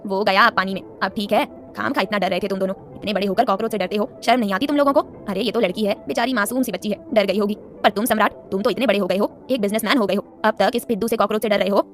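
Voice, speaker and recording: A woman's voice: medium pitch, quick pace, hardly any pauses, faint music behind, lightly acted. A woman voicing an older, motherly nanny in an audio story, fondly scolding with an exasperated, protective manner. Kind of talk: dramatised audio story dialogue.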